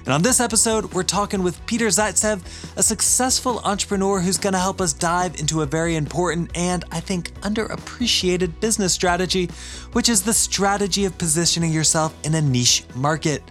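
A man hosting a podcast, giving a scripted introduction and ad read in a polished, measured way. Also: sits high, a bit bright, soft background music. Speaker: podcast host, university teacher.

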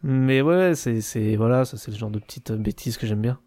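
A man's voice: mid-range voice, moderate pace, mellow, no echo. A man chatting casually about his show, offhand and self-mocking, with hesitations. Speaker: podcast host appearing as a guest.

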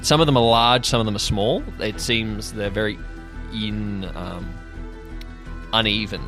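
Low-voiced man narrating over music. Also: measured pace. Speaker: game master. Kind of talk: improvised scene narration for a tabletop roleplay.

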